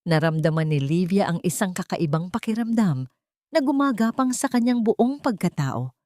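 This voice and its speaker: A Filipino woman narrates a dramatic audio story. Her voice sits a little low and is recorded very dry. She delivers the lines with measured, emotive storytelling. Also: expressive, warm tone, no room sound.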